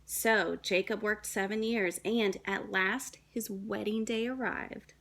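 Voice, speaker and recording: A woman, a young adult, reading a children's story aloud in a dramatic, deliberate way with shifts between emphasis and hush. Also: medium pitch, fairly even intonation, vocal fry. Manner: dramatic, deliberate phrasing with hushed and emphatic moments.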